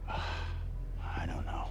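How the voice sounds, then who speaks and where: An actor voicing an older man who speaks in a hushed, warning tone. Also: extremely slow, in a whisper. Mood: grave. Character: older male philanthropist, grave and protective.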